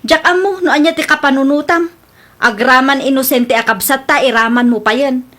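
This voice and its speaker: A woman's voice: high-pitched, fairly quick, a bit bright. A woman playing Sally, an earnest older sister who was wronged, speaking firmly in a radio drama. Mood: confronting. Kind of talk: Ilocano radio drama acting.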